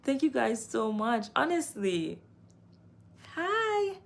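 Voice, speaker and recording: A woman's voice: sits high, sing-song, takes it slowly, vocal fry. A Trinidadian woman hosting a casual livestream at home, reading viewer comments, laughing often and giving encouragement. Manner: warm-hearted, encouraging, laughs often, reads comments aloud. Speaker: live stream host.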